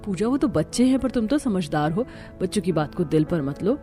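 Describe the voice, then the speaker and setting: A woman playing a patient, peacemaking elder sister-in-law in an animated family story, speaking in a soothing, coaxing way. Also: fairly low pitch, fairly quick, soft background music, lightly acted. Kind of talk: Hindi animated family-drama story acting.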